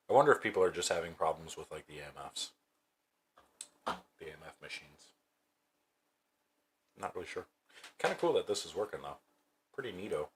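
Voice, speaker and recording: A man hosting a casual livestream, giving bowling tips and thinking aloud in a relaxed way. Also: extremely low pitch, a little hiss or hum. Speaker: streamer and bowling coach. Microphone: new desk microphone.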